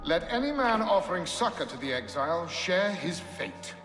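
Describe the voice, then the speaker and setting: A ceremonious, stern game judge declaims solemnly in a slightly high voice. Long reverb rings around him, with music underneath. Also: male voice, very animated, normal speed. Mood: solemn, condemning. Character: stern, ceremonious male judge of secret order. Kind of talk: video game cutscene acting.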